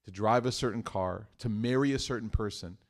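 A man giving a live sermon to a room of listeners, chatty and joking, moving between loud emphatic bursts and hushed points. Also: fairly low pitch, a little fast. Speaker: mosque speaker. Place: room with an audience.

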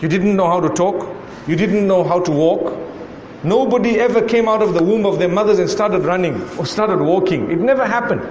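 A man's voice: high voice, fairly quick, darkish tone, roomy sound, in a large hall, lo-fi sound, a little hiss or hum. A man from Zimbabwe with a Zimbabwean accent, preaching a sermon to a live audience and pressing one rhetorical question after another. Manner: rhetorical questioning, repeating "why" and "how come".

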